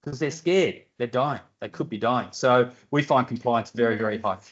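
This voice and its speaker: A man's voice: medium pitch, moderate pace, lo-fi sound. An older man with an Australian accent, answering audience questions at a seminar in a direct, plainspoken way with dry humour. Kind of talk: seminar Q&A explanation. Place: university seminar room.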